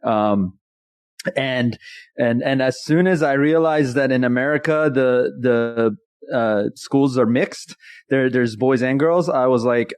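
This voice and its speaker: A man in his thirties from Iran, a comedian telling his story in a casual interview with jokey, animated energy. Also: medium pitch, slow, smooth, no echo, clean studio sound. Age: 30s. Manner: jokey and animated, often laughing mid-sentence. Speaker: guest, actor and comedian.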